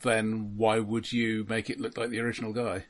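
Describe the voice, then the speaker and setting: A man's voice: on the low side, flat delivery, moderate pace. A man co-hosting a casual film podcast, adding dry, analytical asides. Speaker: podcast co-host.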